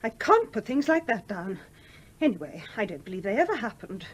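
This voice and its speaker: A woman's voice: medium pitch, very animated, a little fast, noisy background, lightly acted. An actress playing a prim, proper and firm mother in a radio play, reproving and easily flustered. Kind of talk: British radio play acting.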